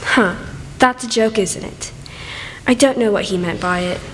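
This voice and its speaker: A woman's voice: mid-range voice, a little slow, a bit bright, natural voice. Woman acting forlorn young maid, bitter, plaintive, radio play, reverberant room, faint noise. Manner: plaintive, wounded. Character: young servant girl, forlorn deserted secret wife, bitter. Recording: echoey hall with faint noise.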